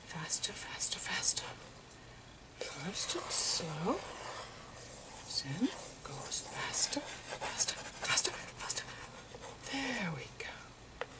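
A woman voicing Einstein as a gentle, eccentric old physicist in a whispery, halting ASMR lecture with repetitive professorial asides. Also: on the low side, very animated, full character voice. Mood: hushed, explanatory.

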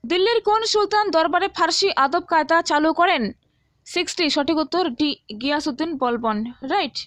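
Woman, high voice, instructional exam walkthrough, checks in with listeners, dry close recording. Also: fairly quick, fairly bright tone, no room sound. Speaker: teacher. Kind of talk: exam answer-key walkthrough.

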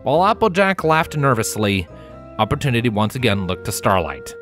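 A man's voice: medium pitch, very animated, brisk, a bit bright, soft background music. A man narrating a scripted story as an audiobook-style reader.

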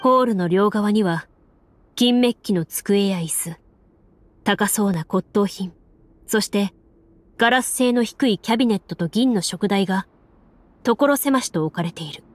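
A woman narrating a story as a game master in a measured, formal manner, like scripted game voice acting. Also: mid-range voice. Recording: soft music under the voice. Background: background music.

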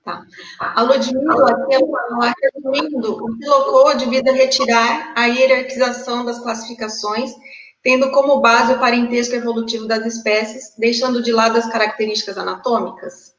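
A woman's voice: on the high side, little pitch movement, normal speed, fairly bright tone, roomy sound, highs cut off, slight background noise. A woman moderating a panel over a video call, reading out audience questions with hesitant filler sounds. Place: home room, indoors.

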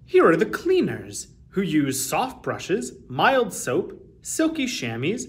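A man in his thirties reading a children's picture book aloud as a storytime host, with deliberate, emphatic phrasing. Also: high voice, wildly swooping pitch.

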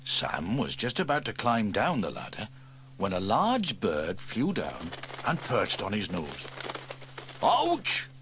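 A man reads a children's story aloud in a very lively, swooping voice. He keeps it bright and animated for young listeners. A faint tape hiss runs underneath, as from an old cassette. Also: unhurried. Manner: lively, switches into higher voices for characters. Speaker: audiobook reader. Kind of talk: storybook narration with character voices.

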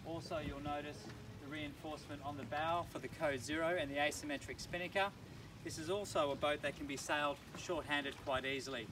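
A middle-aged man presenting a walkthrough tour aboard a moored sailing yacht as a yacht broker, in an upbeat, enthusiastic sales-pitch manner. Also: fairly even intonation, a little fast. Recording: distant mic with marina background noise.

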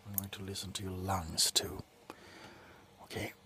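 A middle-aged man playing a grumpy, drunk doctor in an ASMR roleplay, grumbling sardonically close to the mic between soft talk and whispers. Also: low-pitched, little pitch movement, moderate pace, slight background noise. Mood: grumbling, sardonic. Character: grumpy, drunk male doctor on a Christmas house call. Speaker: ASMR performer. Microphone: very close to the face.

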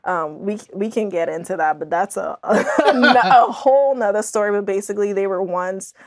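A woman's voice: mid-range voice, moderate pace. A young woman from the Bahamas, talking casually as an interview guest, often dropping in "like" and "you know". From Andros, Bahamas. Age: young adult. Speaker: podcast guest, scientist and author. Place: small indoor podcast studio.

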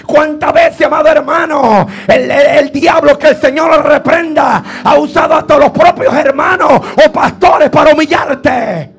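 A man's voice: very high-pitched, measured pace, few pauses. A man preaching a fervent Pentecostal sermon in a strained voice, exhorting and insistently repeating his phrases. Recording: preaching over faint background music. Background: faint music.

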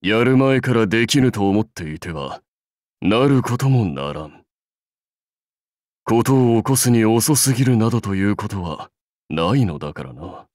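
Commanding samurai lord, game character, low-pitched, unhurried, archaic lordly phrasing, wry, dry close recording. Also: male voice, lively intonation, darkish tone, no echo. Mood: resolute, solemn. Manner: stately, measured, archaic lordly speech. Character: proud, seasoned male samurai warlord, wry and commanding. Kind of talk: scripted game character lines.

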